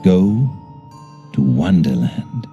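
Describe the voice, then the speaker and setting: An actor playing a controlling, calmly insistent doctor hypnotizing a patient in a video game, with commanding, deliberate phrasing. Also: male voice, deep voice, gravelly, dull audio, soft background music, full character voice. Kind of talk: theatrical video game voice acting.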